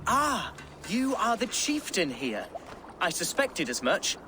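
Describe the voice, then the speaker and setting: A man playing an earnest, pious young noble lord in a video game, with formal, high-flown speech. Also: huge pitch swings, slow pace, over music, heavily acted. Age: young adult. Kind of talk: dramatic video game acting.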